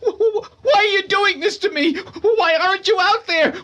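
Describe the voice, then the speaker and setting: Hysterical, shrill, unhinged character. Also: female voice, normal speed, a bit bright. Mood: frantic, distraught. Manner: stammering, wailing. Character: hysterical, unhinged person cracking up in terror. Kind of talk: radio thriller acting.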